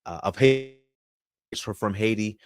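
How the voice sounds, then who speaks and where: A man's voice: fairly low pitch, measured pace, smooth, no room sound, clean studio sound. A man from Florida, in his thirties, answering interview questions in an upbeat, polished speaker's way. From Florida, USA. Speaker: podcast guest.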